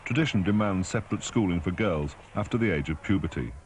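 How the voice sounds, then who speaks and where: A man's voice: low-pitched, expressive, fast, noisy background. A British man reading scripted documentary narration as a news reporter.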